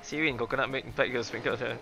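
A young man doing excitable, chatty live game commentary, exclaiming and reading dialogue aloud. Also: mid-range voice, rapid-fire, gravelly, faint music behind. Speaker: gaming streamer.

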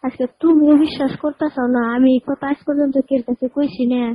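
A woman's voice: high-pitched, fairly even intonation, fairly quick, dry recording. A woman with a regional Bangladeshi Bengali accent talking informally and insistently on a recorded phone call, repeating herself. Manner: insistent, repetitive. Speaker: caller.

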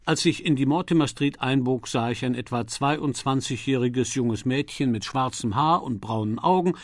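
A man's voice: mid-range voice, moderate pace, dry recording, studio quality. A man narrating a novel as a scripted audiobook reading.

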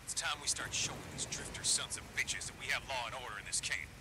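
A man playing an irate, vengeful survivor camp boss in a video game, folksy and forceful. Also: mid-range voice, quick pace, background noise, lightly acted. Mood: stern, vengeful.

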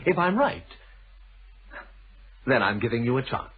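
A British man as an evasive, educated motorist in a radio thriller. He is clipped and defensive, his pitch moving a lot, heard through a narrow, muffled old broadcast. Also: very animated, unhurried, breathy edge, exaggerated voice. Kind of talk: British radio drama acting.

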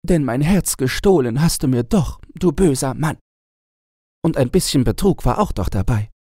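A man voicing a tender, affectionate young wife in a fairy tale, with a soft, pleading manner. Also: mid-range voice, expressive, normal speed, slightly rough, no room sound, studio quality, pitched above the performer's own voice. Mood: tender, relieved.

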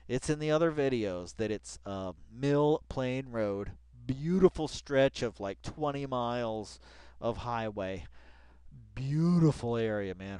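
A man reading along with an article and commenting casually, rambling and excitable, repeating phrases for emphasis and swearing freely. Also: medium pitch, clean tone, no room sound. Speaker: video commentator.

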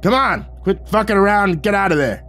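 Brief: man playing a brash, foul-mouthed handler, pitched up and loud, with faint music behind. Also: expressive, a little fast. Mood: gruff, brash. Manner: loud, raised, coarse and blunt. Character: crude, loud male creature handler, gruff and dismissive.

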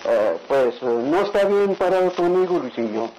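Radionovela role for a male actor: shrewd, genial lieutenant and father, slightly high voice, faintly noisy old recording. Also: lively intonation, moderate pace, a little mellow, natural voice. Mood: pondering. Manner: familiar, repeating himself, deliberating. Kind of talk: radio soap opera acting.

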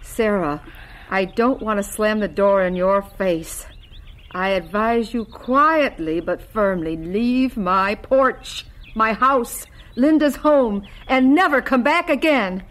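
A woman's voice: mid-range voice, slow pace, lightly acted. Woman as a stern, unforgiving protective woman. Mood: cold, stern. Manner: cold, clipped, firm. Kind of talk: radio drama acting.

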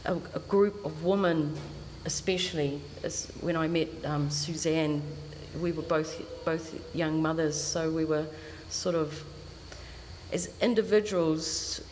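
A woman's voice: low-pitched, steady tone, darkish tone. A woman, speaking as an artist on a panel, often repeating words as she gathers her thoughts. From New Zealand. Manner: halting, repeats words while thinking. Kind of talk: reflective panel talk. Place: indoor art gallery. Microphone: stand mic. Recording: reverberant gallery, faint hiss.

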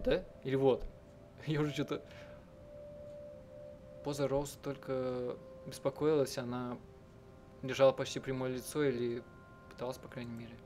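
A man doing let's-play narration, reading and translating text aloud in a halting, self-correcting way. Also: mid-range voice, a little mellow. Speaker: game streamer and live translator. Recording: game music under the voice. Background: game music.

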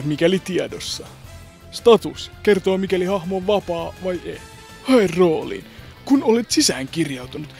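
Man, fairly high voice, website tour voice-over, calm explaining, faint music behind. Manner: plain explanatory, trailing off softly. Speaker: fandub group member presenting its website.

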